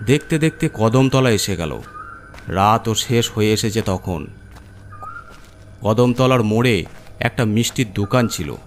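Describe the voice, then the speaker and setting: A man's voice: fairly low pitch, expressive, unhurried, long pauses, slight background noise. A man narrating a scripted horror story as its storyteller.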